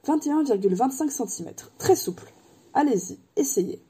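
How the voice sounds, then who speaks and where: A woman's voice: high-pitched, lively intonation, normal speed, mellow, slightly rough, pitched above the performer's own voice, heavily acted. A woman doing an eerie old wand maker. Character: eccentric old male wand maker, intense. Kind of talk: audiobook character voice.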